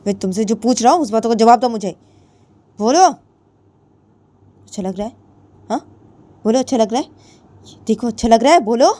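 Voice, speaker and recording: A woman voicing a domineering young woman who keeps loudly demanding answers and repeating her questions. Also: on the high side, lively intonation, moderate pace, pauses often, slightly rough, voice pushed up. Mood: angry, demanding. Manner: badgering, repeats demands, loud and insistent.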